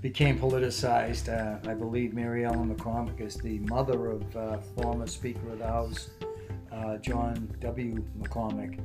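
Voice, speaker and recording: A man, reminiscing as an interview guest in halting, reflective phrases. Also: medium pitch, level tone. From South Boston, USA. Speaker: interview guest, former union president. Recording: music playing behind the voice.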